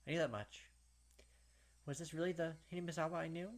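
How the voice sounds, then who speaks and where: A man's voice: fairly high pitch, steady tone, fast talker. A man hosting a let's play, reading visual novel narration aloud and adding casual commentary. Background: game music.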